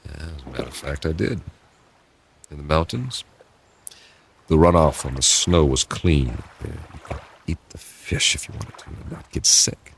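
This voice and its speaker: An American man playing a measured, thoughtful spaceship captain with quiet authority in a radio drama. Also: extremely low pitch, animated, creaky, slight background noise, heavily acted. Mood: calm. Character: steady, thoughtful spaceship captain leading his crew.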